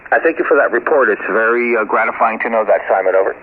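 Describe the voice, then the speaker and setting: A low-pitched man talks over a narrow, tinny shortwave link. He is an amateur radio operator, chatting casually and courteously in a halting way, with call signs mixed in. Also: noisy background. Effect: shortwave radio transmission. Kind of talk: casual ham radio chat.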